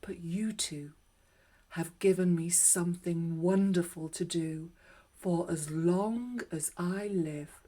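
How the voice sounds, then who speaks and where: Kindly old circus clown turned showman, read by a woman, theatrical and unhurried, for a children's storybook. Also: fairly low pitch, mellow. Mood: tender, reflective. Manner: theatrical, proclaiming showman calls. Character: retired old male circus clown, kindly, showy ringmaster.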